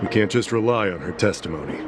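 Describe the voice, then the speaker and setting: A man's voice: low voice, expressive, fast. A man playing a formal, dutiful investigating official in a video game, firm and businesslike. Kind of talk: scripted game dialogue. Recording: loud music under the voice.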